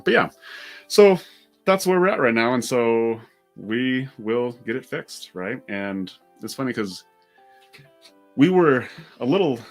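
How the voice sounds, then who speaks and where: A man chatting casually as a vlog host, telling a story in an informal way and repeating words as he works out his point. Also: sits low.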